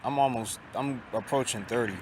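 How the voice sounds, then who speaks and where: Man, late 20s, vlogging outdoors on a campus; halting, repetitive, profane, resigned, mid-pitched voice. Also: noisy background. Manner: halting and repetitive, with frequent restarts and swearing; resigned, downcast tone. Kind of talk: casual confessional monologue.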